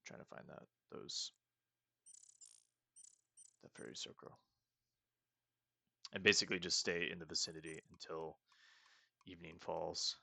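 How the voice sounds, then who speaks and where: A man's voice: low-pitched, little pitch movement, unhurried, creaky, pauses often, sharp tone, no echo. A man casually commenting as he plays a game, chatty and self-mocking as he thinks aloud. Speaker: let's play host.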